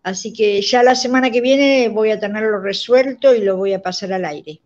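A woman's voice: medium pitch, normal speed, darkish tone. An older woman with an Argentine accent, explaining things in conversation as an interview guest. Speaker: radio drama director, guest. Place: indoor room, on a video call. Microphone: video call device microphone. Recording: dull, lo-fi call audio.

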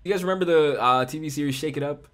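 A young man giving casual, animated reaction commentary to a music video in a small home studio on a large condenser mic. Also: fairly high pitch, fast. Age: young adult. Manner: animated, hyped, chatty, slangy. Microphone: large studio condenser mic, headphones on. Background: faint music from the video.

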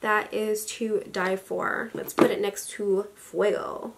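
A young woman in her twenties chatting about makeup, gushing and chatty, full of likes and excited exclamations, filmed in a small room at her vanity. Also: mid-range voice, slow, creaky. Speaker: beauty vlogger. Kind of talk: casual makeup haul chat. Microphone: camera at desk distance.

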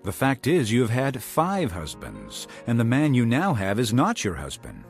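Calm authoritative teacher, earnest, solemn, expressive melody, scripture drama, background music. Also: male voice, mid-range voice, normal speed. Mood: calm, knowing.

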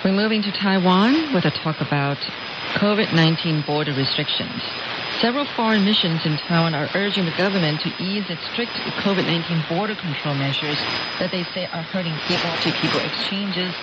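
A man presenting scripted radio news in a formal, measured way, heard over shortwave radio. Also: on the high side. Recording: muffled, noisy shortwave radio broadcast.

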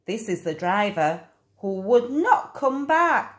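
A woman reading a children's picture book aloud in an expressive, deliberate storytelling manner. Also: mid-range voice, roomy sound. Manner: slow, animated, deliberate storytelling.

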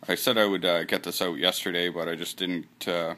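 Offhand man, bright forceful voice. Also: sits low, level tone, fairly quick. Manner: rambling, offhand, swears casually. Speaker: channel host running a giveaway. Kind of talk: casual screen-recorded vlog.

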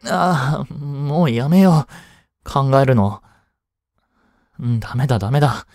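A man's voice: medium pitch, a little mellow, no echo, clean studio sound. A man playing a flustered teenage boy jealous over his childhood friend in a romantic voice drama, boyish and hesitant. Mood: jealous, anguished. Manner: boyish, hesitant, muttering to himself. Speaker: amateur voice-drama actor. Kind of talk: Japanese romantic voice drama acting.

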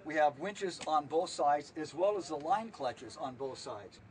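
A man's voice: a little high, measured pace, far from the mic, low-quality recording. Man giving a methodical boat tour. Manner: steady, methodical listing of equipment. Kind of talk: walkthrough tour, instructional. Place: inside a small sailboat cabin.

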